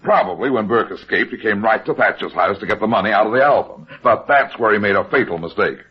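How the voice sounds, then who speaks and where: An actor playing a wisecracking, unflappable heavyset private detective in a vintage radio drama, wry and sardonic. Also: male voice, lowish voice, lively intonation, quick pace, breathy edge. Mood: confident, explaining. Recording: muffled vintage radio recording.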